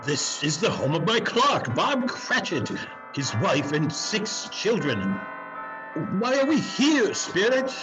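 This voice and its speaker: A man plays a regretful old miser in a theatrical Victorian tale. His voice is high and slow, swooping widely with melodramatic pleading. Faint music sits behind him. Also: sing-song, full character voice, poor audio. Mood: humbled. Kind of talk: dramatic reading of a Victorian Christmas story.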